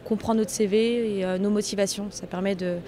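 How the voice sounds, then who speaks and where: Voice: medium pitch, fairly even intonation, fairly quick, mellow. A job seeker in their twenties answering hesitantly in a casual interview. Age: 20s.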